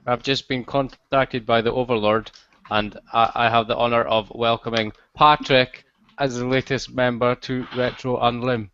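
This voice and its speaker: A man's voice: mid-range voice, little pitch movement, moderate pace, fairly bright tone, smooth, dry recording. A man on a group stream call speaking in a mock-formal, welcoming way. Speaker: stream panelist. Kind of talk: casual group chat. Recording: dull dry lo-fi call audio.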